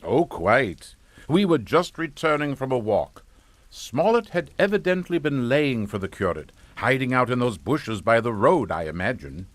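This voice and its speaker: A man's voice: medium pitch, very animated, normal speed, dry recording. An actor playing a genteel, middle-aged family man in a suspense drama, pompous and formal with a falsely jovial manner. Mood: bluffing, jovial. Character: genteel English family patriarch, smoothly hypocritical and unflappable. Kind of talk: radio suspense drama acting.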